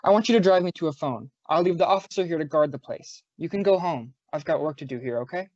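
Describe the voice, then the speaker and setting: A woman's voice: low-pitched, fast talker, darkish tone, no room sound, lo-fi sound. A woman playing a male homicide inspector in a radio drama, wry and affectionate, with clipped teasing banter. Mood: businesslike. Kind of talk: old-time radio detective drama acting.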